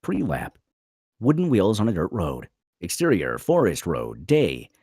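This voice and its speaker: Casting: male narrator for screenplay read, lively pitch swings, husky, clipped dramatic phrasing. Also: unhurried, no room sound, studio quality. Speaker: narrator reading stage directions.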